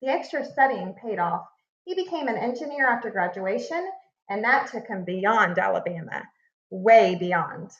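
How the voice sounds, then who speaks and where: Woman, children's read-aloud, expressive storytelling, deliberate emphasis, echoey room, slightly muffled recording. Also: medium pitch. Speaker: read-aloud narrator, teacher. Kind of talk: reading a children's picture book aloud.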